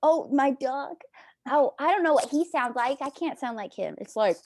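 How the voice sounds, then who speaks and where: A woman's voice: sits high, lively intonation, normal speed, a little mellow. A woman with a distinctive, youthful, almost childlike voice, chatting casually as an actress on a panel. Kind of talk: casual chat.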